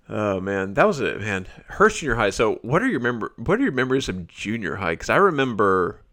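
A man's voice: mid-range voice, sing-song, normal speed, fairly bright tone. An American man in his forties hosting a podcast in a casual, chatty, reminiscing way. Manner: chatty, reminiscing, chuckles often. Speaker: podcast host. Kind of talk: casual conversational interview.

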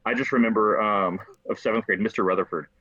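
Fast-talking American man, low bright voice. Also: fairly even intonation, no room sound, muffled recording. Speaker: podcast guest, entrepreneur. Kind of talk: casual chat.

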